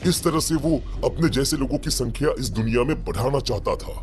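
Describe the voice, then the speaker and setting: A man's voice: medium pitch, fairly quick, lightly acted. A man playing a grave old village priest who speaks with solemn foreboding in a horror story. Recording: background music under the voice.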